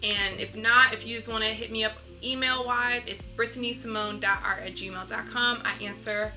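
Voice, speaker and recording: A woman speaking as an artist guest in a casual interview, talking in an expressive, conversational way. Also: on the high side, moderate pace, faint music behind.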